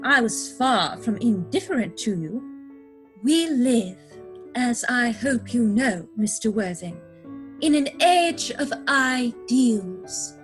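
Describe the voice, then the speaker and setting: A woman playing a prim, self-assured young society lady in a stage comedy reading, affected, coy and precise. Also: on the high side. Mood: romantic, assured.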